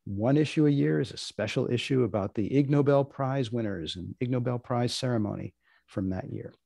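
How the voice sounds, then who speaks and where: A man hosting and wrapping up an event in a measured, deadpan way. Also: mid-range voice, creaky, no echo, studio quality. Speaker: host, moderator. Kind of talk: deadpan hosting and closing remarks. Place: indoors on a video call.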